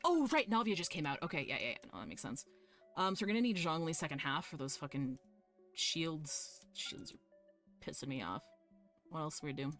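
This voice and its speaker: A woman's voice: deep voice, expressive, moderate pace, a bit bright. A woman streaming a video game live, commenting casually in an informal, sweary, self-mocking way. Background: game music.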